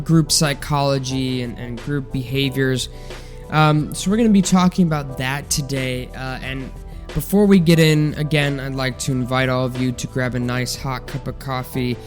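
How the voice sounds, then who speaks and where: A young man hosting a solo science podcast, chatting casually with a congested, nasal voice and lots of ums and restarts. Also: medium pitch. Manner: rambling, filler-heavy, relaxed. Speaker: podcast host.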